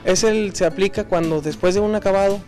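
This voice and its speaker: A Mexican man speaks as a workshop technician explaining his craft. His voice is slightly high, and his delivery is informal and somewhat halting. Faint music is heard behind him. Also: steady tone. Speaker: auto body paint technician.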